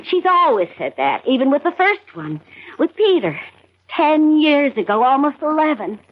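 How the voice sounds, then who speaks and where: A woman's voice: high voice, sing-song, normal speed. An American woman playing a weary, bitter housewife in her forties in a 1970s radio drama. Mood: bitter, resigned. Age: 40s. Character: weary, bitter forty-one-year-old housewife and mother, pregnant. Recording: muffled vintage AM radio drama recording.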